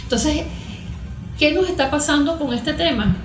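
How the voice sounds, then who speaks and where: A woman's voice: high voice, a little slow, over music. A woman with a Venezuelan accent explaining as an expert guest in a conversational interview, didactic, posing questions and answering them. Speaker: guest expert, cognitive therapist. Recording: echoey room.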